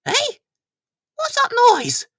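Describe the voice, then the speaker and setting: A man voicing an excitable, easily frightened female talking plant, with shrieks and stammers, in a children's story. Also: very high voice, slow, no echo, studio quality, voice pushed up, exaggerated voice. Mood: startled.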